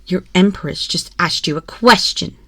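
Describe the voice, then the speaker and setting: A woman's voice: on the low side, measured pace, pushed and bright, dry recording, full character voice. A woman playing a haughty, mocking empress in an ASMR roleplay, with imperious, condescending and theatrically formal delivery, close on a binaural microphone. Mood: impatient, threatening. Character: haughty, cruel, mocking empress toying with a prisoner. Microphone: close binaural microphone, dry.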